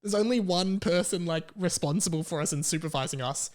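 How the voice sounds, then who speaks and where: A man's voice: high voice, a little fast. Man telling a comic story, podcast style. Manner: casual swearing. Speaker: podcast host. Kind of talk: casual comedic storytelling.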